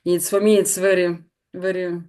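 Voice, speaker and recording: Cheerful Ukrainian woman speaking halting English. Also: lowish voice, level tone, warm tone. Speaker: children's house teacher. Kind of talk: informal self-introduction.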